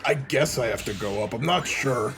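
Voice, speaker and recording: A man's voice: mid-range voice, normal speed, vocal fry, a bit bright. A man giving casual, joking video game commentary as a let's play host. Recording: game music behind the voice.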